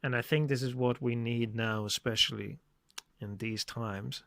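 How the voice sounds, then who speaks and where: A man hosting a podcast, giving a reflective solo monologue in deliberate, short, repeated phrases. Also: mid-range voice, fairly even intonation, gravelly, a bit bright, no room sound, clean studio sound. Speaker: podcast host.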